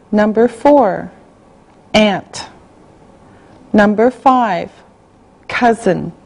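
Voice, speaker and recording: A woman reading a language-class listening exercise, spelling out words and dictating sentences slowly and carefully. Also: on the high side, dark tone. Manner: slow, carefully spelled out, evenly paced. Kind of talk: slow scripted dictation.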